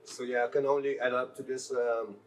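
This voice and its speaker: A man from Germany, an actor, telling his story on a festival panel in a calm way. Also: medium pitch, fairly quick, slight background noise. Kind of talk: casual panel talk. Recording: distant mic.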